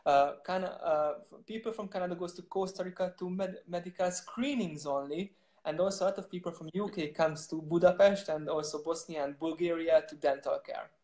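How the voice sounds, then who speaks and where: A man's voice: on the high side, fairly quick, hardly any pauses, darkish tone. Man speaking in an echoey room. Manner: hesitant, many restarts and fillers. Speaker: startup co-founder. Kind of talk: interview answers.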